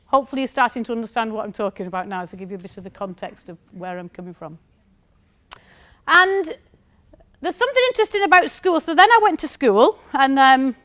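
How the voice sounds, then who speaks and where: Woman, northern English accent, slightly high voice, conference talk, chatty anecdotes, keeps asking the room "okay?". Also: fairly bright tone. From north of England. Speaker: university researcher giving a conference talk. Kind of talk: conference presentation.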